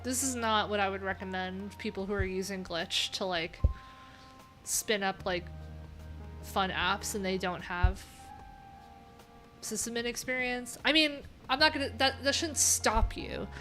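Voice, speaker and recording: A woman's voice: medium pitch, steady tone, unhurried, pushed and bright. Casual rambling woman streamer, faint music behind. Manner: informal, rambling, thinking aloud, swearing casually. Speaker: live streamer. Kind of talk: casual live tech stream commentary.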